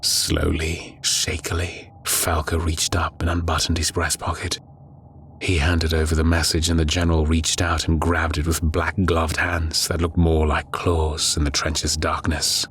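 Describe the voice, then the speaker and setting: A man narrating a scripted horror audiobook in a grave, measured storytelling manner. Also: very deep voice, monotone, fairly bright tone, a little hiss or hum.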